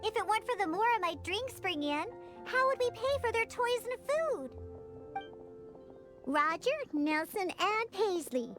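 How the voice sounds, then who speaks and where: A woman's voice: extremely high pitch, animated, unhurried, music in the background. A woman voicing a feisty, proud little cat-girl bartender in a video game, pouty and emphatic in her delivery. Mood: wistful, busy. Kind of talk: animated video game character acting.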